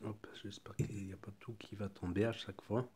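A man with a stuffy cold talks through a food tasting at his kitchen table. His voice sits a little low, and he keeps a loose, thinking-aloud manner full of small fillers. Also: little pitch movement, fairly quick, dark tone, husky. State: has a cold. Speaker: food reviewer. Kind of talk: casual product tasting review.

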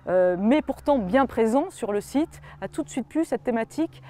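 A middle-aged woman, administrator of a historic monument, speaking on camera outdoors by a stone wall, measured with frequent hesitations. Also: a little high, animated, dark tone. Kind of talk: on-camera interview statement.

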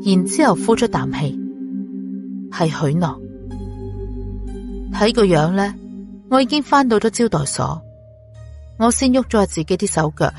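A woman narrating a scripted audiobook story. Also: mid-range voice. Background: music.

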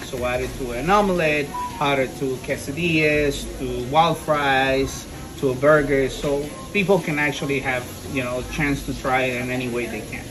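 A man's voice: medium pitch, rough recording. A middle-aged man, a restaurant chef and owner, talking plainly about his cooking. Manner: plain, matter-of-fact kitchen talk. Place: restaurant kitchen and diner booth.

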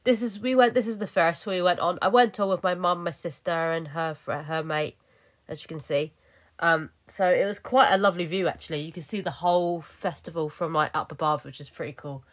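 A woman's voice: fairly low pitch, a little fast. A young woman narrating her vlog footage in a chatty, rambling way, full of fillers and restarts. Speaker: vlogger. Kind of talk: casual voice-over narration.

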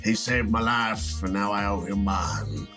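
A man voicing a solemn, loyal pixie fighter in a tabletop game, with drawn-out, grave delivery. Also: lowish voice, pushed and bright, faint music behind. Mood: grave, deadpan.

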